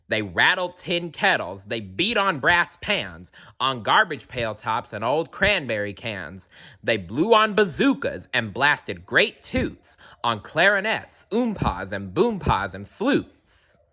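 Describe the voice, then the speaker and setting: A man's voice: mid-range voice, very animated, slow pace, pushed and bright. A man reading a rhyming picture book aloud with a playful, rhythmic delivery. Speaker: storybook reader.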